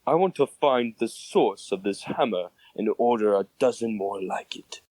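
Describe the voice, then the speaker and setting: A man's voice: fairly low pitch, animated, normal speed, darkish tone. A man playing a stern, formal, demanding railroad boss in a comic dub. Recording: voice under background music.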